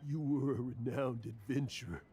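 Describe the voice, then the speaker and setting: An actor playing a grief-stricken, guilty adventurer in a video game, speaking in an anguished, pleading way. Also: male voice, medium pitch, moderate pace, dark tone, a little hiss or hum, close to an everyday voice. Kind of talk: dramatic video game voice acting.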